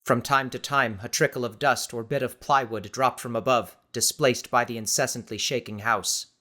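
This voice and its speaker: A man reading dramatic scripted audiobook narration in a measured, suspenseful manner. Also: mid-range voice, steady tone, pushed and bright.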